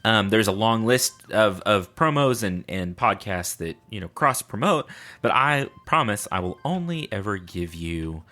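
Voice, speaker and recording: A man's voice: lowish voice, pushed and bright. A man hosting a podcast in casual, rambling solo chat with playful, self-mocking asides. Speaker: podcast host.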